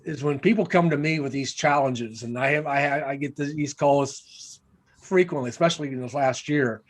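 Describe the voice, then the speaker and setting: Man on a panel call, earnest, keeps restarting, voice a bit high. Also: moderate pace. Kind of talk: panel discussion.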